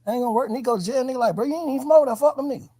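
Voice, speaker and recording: Fast-talking, high-pitched man, husky and animated. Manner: emphatic, slangy, repeats points. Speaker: podcast host interviewing a guest. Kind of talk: heartfelt advice in casual street talk.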